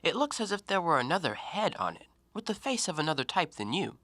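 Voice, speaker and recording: An actor in an audio play, playing a talkative, self-assured intellectual who probes and needles with articulate, deliberate theatrical phrasing. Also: male voice, on the high side, sing-song, bright, forceful tone, no room sound, exaggerated voice. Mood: probing, pointed. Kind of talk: stage-style audio play monologue.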